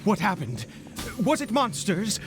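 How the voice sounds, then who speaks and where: Casting: hesitant, world-weary fantasy game scholar, higher-set expressive voice, unhurried, music underneath. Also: male voice, full character voice. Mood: relieved, awkward. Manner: halting, hesitant, polite, chuckling. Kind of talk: video game character acting. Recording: studio voice over game music.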